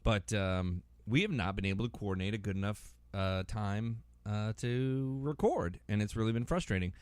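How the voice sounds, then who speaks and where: American man, forties, podcast host. Low, smooth voice, dry close sound, casual and halting. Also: no echo. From Massachusetts, United States. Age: 40s. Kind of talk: casual, joking podcast chat.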